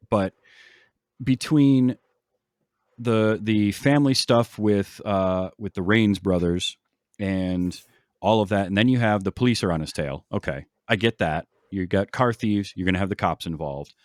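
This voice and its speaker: A man with a fairly low voice hosts a casual podcast about films. He explains in a measured, halting manner, recorded close and dry with little room sound. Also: no echo. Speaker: podcast host. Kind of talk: casual film discussion.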